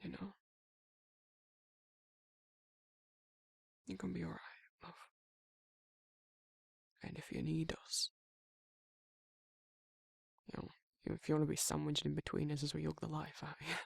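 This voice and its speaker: Husky, gravelly, bashful werewolf man, acted out. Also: medium pitch, steady tone, normal speed, long pauses, no room sound, clean studio sound. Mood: tender, awkward. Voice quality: husky, breathy, gravelly, often whispering. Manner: hesitant, rambling, stammering, bashful. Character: shy, awkward, protective male werewolf, big and tender. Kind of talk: intimate romantic ASMR roleplay.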